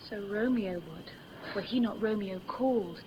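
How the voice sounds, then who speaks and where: A woman's voice: medium pitch, moderate pace, natural voice. An actress playing a passionate, lovestruck young noblewoman, speaking verse in an emotive, theatrical 1950s radio style. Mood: yearning. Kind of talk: 1950s radio Shakespeare acting. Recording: dull, noisy old radio recording.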